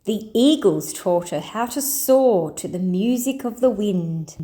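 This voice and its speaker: A woman storyteller reading a children's picture book aloud in an expressive, deliberate way. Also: mid-range voice.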